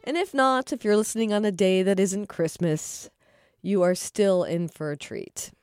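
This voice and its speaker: A woman giving a scripted podcast introduction as host, in a measured storytelling manner. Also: medium pitch, darkish tone, smooth, faint music behind.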